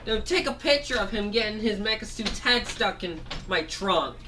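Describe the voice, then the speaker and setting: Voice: medium pitch, animated, normal speed, background noise, pitched above the performer's own voice, close to an everyday voice. A storyteller voicing a bossy toy robot with a trunk who demands his vacuum back. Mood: demanding. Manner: demanding, boastful.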